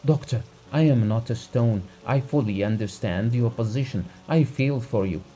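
A man voicing a gentleman who begs a doctor for help in an imploring, flowery, stilted storybook manner. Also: medium pitch, expressive, unhurried, a little hiss or hum, pitched above the performer's own voice, lightly acted. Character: well-off gentleman desperately begging a doctor for help.

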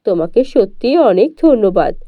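Woman voicing humble grateful cartoon villagers, lowered steady voice, dull dry recording. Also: normal speed, no room sound.

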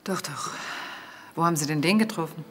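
An actress playing a guarded, haughty countess in a crime drama, curt and defensive. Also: female voice, deep voice, a little fast, a bit bright, slight background noise. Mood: irritated, defensive.

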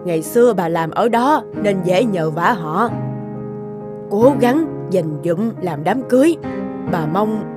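A woman's voice: medium pitch, animated, slow pace. A woman voicing a kindly, forgetful old homeowner in a cartoon, chatty and grandmotherly. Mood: fond, hopeful. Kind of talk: cartoon voice acting. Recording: music behind the voice.

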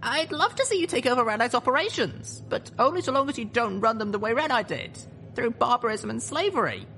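A man's voice: extremely high pitch, big pitch swings, fairly quick, sharp tone, slight background noise, pitched above the performer's own voice, heavily acted. A man voicing an earnest, wondering young female pony heroine in an audiobook story. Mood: hopeful, firm.

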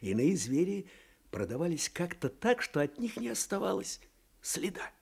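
A man's voice: high voice, very animated, normal speed, vocal fry, a little mellow, husky, full character voice. An actor playing a wry, knowing provincial Russian man with a sly manner in a radio drama. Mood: wry, confiding.

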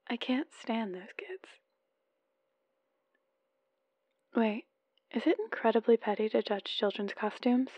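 A woman's voice: medium pitch, no room sound, dull audio, lightly acted. A woman playing an adorkable, lovesick, playful newlywed wife leaving chatty voicemails in an ASMR roleplay. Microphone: close microphone, dry.

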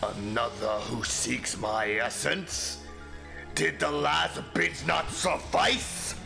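A man voicing an arrogant storm-spirit boss monster from a video game, in a slow, booming, haughty way. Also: sing-song, gravelly, pushed and bright, full character voice. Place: small home room. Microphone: studio mic on a boom stand. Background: game music.

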